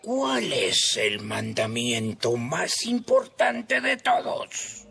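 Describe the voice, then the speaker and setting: An earnest, emphatic teacher of religious law, acted by a man in an audio Bible drama. The voice is slow and gravelly, with lively swings in pitch. He sounds deliberate throughout. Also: slight background noise, heavily acted. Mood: inquiring.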